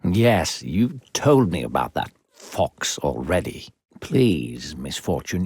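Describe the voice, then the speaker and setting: A male voice actor playing a smooth-talking, secretly sinister video game narrator, theatrical, coaxing and condescending toward a child. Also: sits low, darkish tone, dry recording, studio quality, exaggerated voice. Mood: disdainful.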